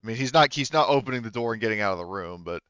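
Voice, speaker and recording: A man's voice: fairly low pitch, expressive, racing, fairly bright tone, smooth, no echo, dull audio. A man acting as game master, casually narrating and ruling on a tabletop roleplaying game with jokey profanity.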